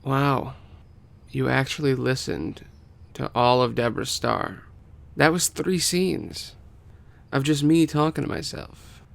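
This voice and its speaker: A man's voice: mid-range voice, takes it slowly, creaky, pauses often. A man narrating an amateur screenplay table read as a podcast host. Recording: noticeable background noise.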